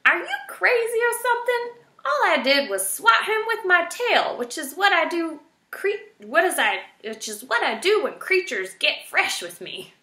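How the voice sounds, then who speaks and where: Lady doing a grumpy old man porcupine from a kids book, blunt n exasperated, bright forceful voice, bit roomy. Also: extremely high pitch, very animated, unhurried, voice pushed up, heavily acted. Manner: bellowing, grumbling, indignant. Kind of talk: children's storybook character voice.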